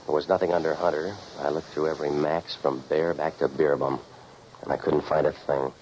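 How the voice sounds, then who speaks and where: An American actor playing a cynical, world-weary private eye in a 1940s radio noir, with clipped, deadpan wisecracks. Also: male voice, a bass voice, a little fast. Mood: wry, moody. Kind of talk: 1940s radio noir acting and first-person narration. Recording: muffled, noisy 1940s radio recording.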